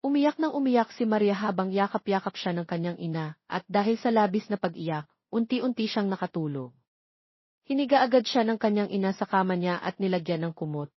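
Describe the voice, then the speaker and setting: A woman's voice: medium pitch, lively intonation, brisk, breathy edge, no room sound, highs cut off. A woman narrating a scripted love story as an audiobook reader.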